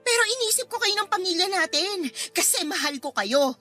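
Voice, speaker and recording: A woman's voice: extremely high pitch, expressive, moderate pace, pushed and bright, faint music behind, heavily acted. A woman playing a bitter, combative wife and mother in a radio drama, sarcastic and confrontational. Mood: indignant, angry.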